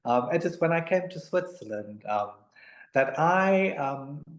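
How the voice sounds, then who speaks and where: A man from Africa, answering interview questions in a measured, polite way with many pauses and ums. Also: fairly high pitch, animated. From South Africa. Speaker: guest, amateur tennis player. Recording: echoey room.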